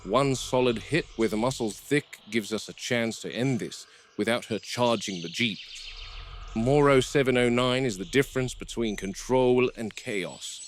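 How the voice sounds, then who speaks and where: A man playing a calm, commanding veteran game warden in found-footage horror, speaking in measured, terse, authoritative phrases. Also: mid-range voice, a little hiss or hum, lightly acted. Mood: measured, explanatory. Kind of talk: found-footage horror film acting and log narration. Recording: VHS-style found footage, music underneath.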